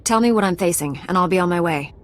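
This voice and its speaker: A woman acts out a female knight heroine in a sci-fi video game. Her voice is fairly low, and she speaks in a terse, serious, resolute way. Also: fast, close to an everyday voice. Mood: serious, wary. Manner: direct, terse, questioning.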